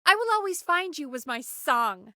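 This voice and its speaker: A woman's voice: high-pitched, big pitch swings, moderate pace, pushed and bright, no room sound, studio quality, heavily acted. A woman playing a frank, anxious but caring radio producer in a video game, talking in an informal, emphatic, exasperated way. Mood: excited. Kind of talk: conversational video game voice acting.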